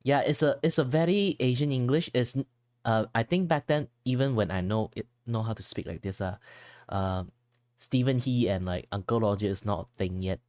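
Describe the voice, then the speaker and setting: A man's voice: mid-range voice, normal speed, a little mellow, dry recording. A young man from Malaysia chatting casually while drawing on stream, rambling with lots of fillers and restarts and switching at will into Malaysian English. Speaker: art streamer.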